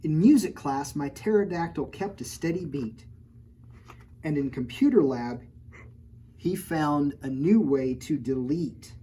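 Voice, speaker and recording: Mellow-voiced woman reading a kids' story. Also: deep voice, lively intonation, unhurried, slightly rough, rough recording. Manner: playful, teasing, stops often to point out pictures. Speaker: children's librarian. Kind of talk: storytime read-aloud with chatty asides.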